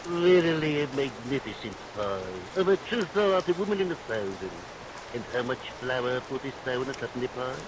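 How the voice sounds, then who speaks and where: A man's voice: medium pitch, moderate pace, natural voice. A man playing an unctuous, amorous parson in a vintage radio play, with pompous, archaic preacherly phrasing. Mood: pious, prying. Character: sanctimonious amorous male parson, unctuous and pious. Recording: vintage radio recording under steady rain noise.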